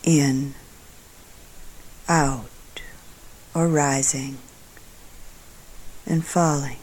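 A woman meditation teacher leading a guided meditation in a soft voice that sometimes trails into a breathy near-whisper, calm and deliberate, with long pauses between short phrases. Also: a bass voice, poor audio.

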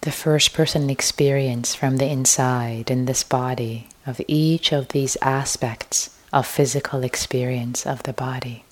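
Very low-voiced woman guiding a slow meditation. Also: steady tone. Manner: gentle, hushed delivery with long pauses. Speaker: meditation teacher. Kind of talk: guided meditation.

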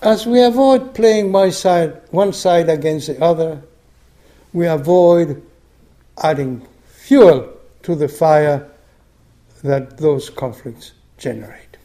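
Slow, soft-spoken older man. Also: a little high. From Argentina. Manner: slow, reflective, frequent restarts. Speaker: meditation group teacher. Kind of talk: dharma talk.

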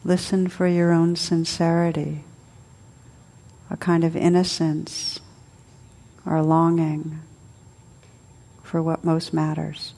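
A woman leading a guided meditation as a teacher, soothing and unhurried, speaking in short phrases with long pauses. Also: deep voice, flat delivery, gravelly, warm tone. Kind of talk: guided meditation, spoken to a group.

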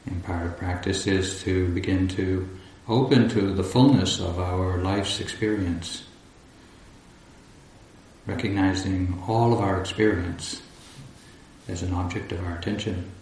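A man guiding a meditation as a teacher, speaking calmly and deliberately in short phrases with long pauses. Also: sits low. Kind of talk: guided meditation instruction. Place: large echoey indoor room at a retreat. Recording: roomy echo, faint background noise.

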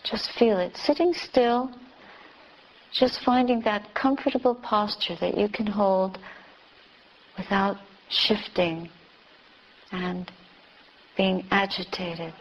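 A woman teacher leading a beginner's guided meditation, giving calm, gentle instructions with long pauses. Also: mid-range voice, a little hiss or hum, poor audio. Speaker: Buddhist nun teaching meditation.

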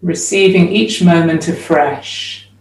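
Slow-paced woman guiding meditation in a roomy space. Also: on the low side, fairly even intonation, darkish tone. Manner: measured, with long pauses between short phrases. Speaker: meditation teacher. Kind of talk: guided meditation, slow spoken instruction. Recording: roomy echo, distant microphone.

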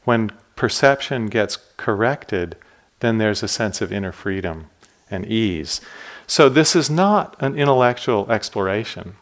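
A meditation teacher with a fairly low voice leads a dharma talk at a retreat center. He speaks calmly and reflectively and keeps turning questions back to his listeners. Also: creaky.

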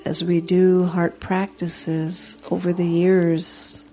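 A woman leading a guided meditation as a teacher, speaking in a soothing, gentle, unhurried way with long pauses. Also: on the low side, flat delivery.

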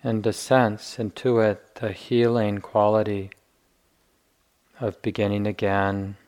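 A man guiding a meditation as a teacher, speaking gently and deliberately with long pauses. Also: fairly low pitch, flat delivery. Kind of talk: guided meditation instruction.

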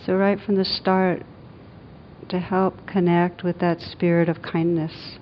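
A woman meditation teacher leading a guided meditation in a gentle, soothing, unhurried manner with long pauses. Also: flat delivery. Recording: dull lo-fi sound, rumbling background noise.

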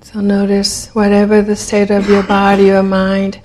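Woman, meditation teacher, soft mellow tone, very even pitch, gentle, long pauses. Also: slight background noise. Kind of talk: guided meditation.

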